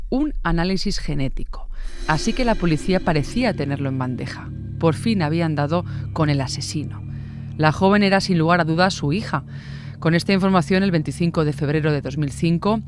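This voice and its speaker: A man narrates a true-crime story from a script. His voice sits somewhat high for a man, and he keeps a measured, grave pace. The sound is dry and close, with no room around it. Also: dry recording. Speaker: podcast narrator.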